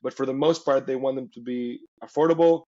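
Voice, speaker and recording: A man's voice: medium pitch, fairly even intonation, a little fast, mellow. Dull-sounding recording of Mexican man explaining. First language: Spanish. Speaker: interview guest, company founder. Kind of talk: conversational explaining.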